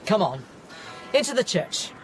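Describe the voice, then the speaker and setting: A woman playing a rational, patient scientist in an audio drama, explaining things in a measured, thoughtful way. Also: medium pitch, very animated, music in the background. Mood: urgent.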